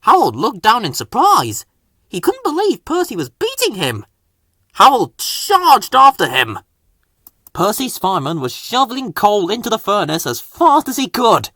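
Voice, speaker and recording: A man's voice: high voice, wildly swooping pitch, unhurried, sharp tone, no room sound. Male narrator for children's story, hugely varied intonation, dry sound.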